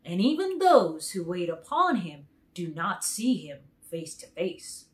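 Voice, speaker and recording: A woman voicing an emphatic, awed countryman who knows of a great wizard, in a deliberate storybook read-aloud. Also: on the low side, big pitch swings.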